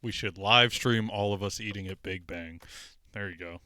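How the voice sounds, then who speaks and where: A man's voice: on the low side, moderate pace, bright, forceful tone, smooth, no echo, clean studio sound. A man co-hosting a podcast, joking and swearing casually with friends on a headset mic. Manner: joking, profane. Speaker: podcast co-host.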